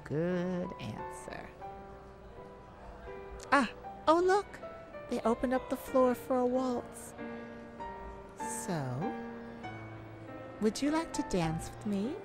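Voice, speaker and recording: Woman acting a flirtatious, lively-toned lady thief, music behind. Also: fairly high pitch, slow, pauses often. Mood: playful, flirtatious. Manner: teasing, hesitant, breaking into soft laughs. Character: flirtatious, playful, shyly romantic woman thief on a date. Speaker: audio roleplay performer. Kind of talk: romantic ASMR roleplay acting.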